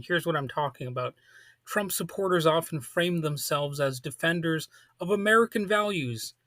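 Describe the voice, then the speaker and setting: Male political commentator, bright forceful voice, slightly high, close dry sound, deliberate emphatic phrasing. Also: no room sound. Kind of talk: political commentary monologue, part scripted.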